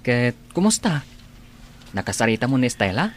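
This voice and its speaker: A man's voice: mid-range voice, sing-song, moderate pace, soft background music, natural voice. An actor playing an inquisitive male friend and confidant in an Ilocano radio drama. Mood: probing.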